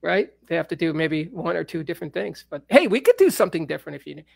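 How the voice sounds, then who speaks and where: Middle-aged man, host, gravelly voice, fairly high, dry close sound, laid-back and rambling. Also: big pitch swings, quick pace, darkish tone, no room sound. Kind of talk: casual live-stream chat. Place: home studio room. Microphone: studio mic on a stand.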